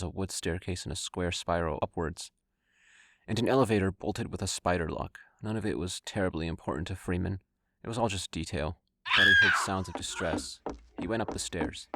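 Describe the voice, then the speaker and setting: A man reading scripted dramatic prose as the narrator of an audio drama. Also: deep voice. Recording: loud ambient sound effects under narration.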